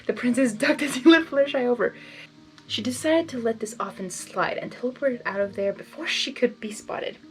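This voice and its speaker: Woman, high voice, expressive story narration, informal read-aloud with asides, music behind. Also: moderate pace, rough recording. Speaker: fan fiction reader.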